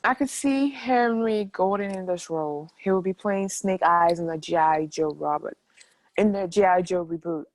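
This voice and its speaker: A woman's voice: lowish voice, moderate pace, natural voice, poor audio. A woman playing an upbeat, pushy film producer in a table read. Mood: eager.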